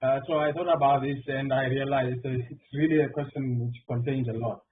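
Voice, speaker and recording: A man's voice: medium pitch, steady tone, brisk, distant mic. A middle-aged man from Zimbabwe, a mathematician on a panel, talking with many fillers and restarts. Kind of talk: round table answer. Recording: phone-like online call audio with faint noise.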